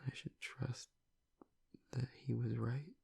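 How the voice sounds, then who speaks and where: Guy playing gruff young werewolf alpha boyfriend in audio rp, choked up and tearful, super gravelly, slow halting talk. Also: steady tone, a little mellow, exaggerated voice. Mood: anguished, self-doubting. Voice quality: breathy, gravelly rasp. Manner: halting, low-voiced, choked with emotion. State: tearful, on the edge of crying. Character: gruff young male werewolf pack alpha, grieving, self-doubting boyfriend. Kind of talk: intimate audio roleplay acting.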